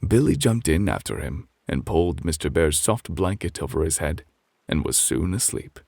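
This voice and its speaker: Man as calm refined gentleman, ASMR bedtime reading, gentle, measured, creaky, expressive intonation. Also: low-pitched, dry recording, studio quality, full character voice. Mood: soothing. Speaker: ASMR storyteller. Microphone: close microphone.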